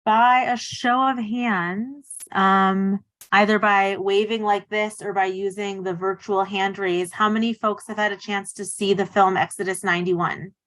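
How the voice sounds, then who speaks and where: A woman's voice: medium pitch, steady tone, a little slow, a bit bright, dry recording, low-quality recording. A woman hosting a virtual event, reading welcomes and thanks in a friendly way over a video call. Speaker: event host.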